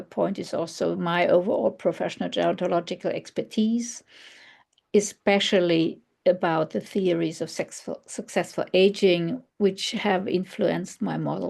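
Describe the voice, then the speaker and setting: A woman with a German accent, a non-native English speaker, giving an online conference presentation in a halting, thoughtful way, with many fillers and restarts. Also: fairly low pitch, creaky, darkish tone. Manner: thoughtful, searching for words. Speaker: researcher presenting.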